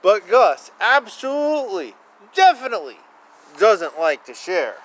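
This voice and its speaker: A man reading children's picture books aloud in a playful, expressive way, with casual asides and repeated phrases. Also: sits high, a little slow. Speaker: children's book reader. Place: outdoors. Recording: noisy outdoor ambience. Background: outdoor ambience, animal and vehicle sounds.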